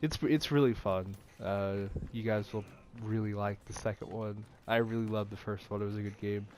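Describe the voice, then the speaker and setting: A man's voice: lowish voice, steady tone, normal speed, a little mellow, slight background noise. A young man with a stuffy nose chatting casually about video games, rambling with many fillers and restarts. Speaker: gaming channel host. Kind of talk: casual gaming vlog chat.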